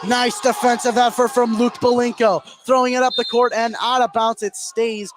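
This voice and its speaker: A man's voice: extremely high pitch, little pitch movement, moderate pace, over music. An American man doing live play-by-play commentary of a basketball game. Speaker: play-by-play announcer. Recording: clear gym noise behind live commentary. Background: noisy basketball gym.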